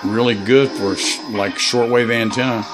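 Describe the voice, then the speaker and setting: A man talking casually as a hobbyist vlogger, halting and unhurried as he explains things. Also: medium pitch, fairly even intonation, music in the background, low-quality recording. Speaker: shortwave radio hobbyist vlogger. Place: indoors, small room beside radio gear.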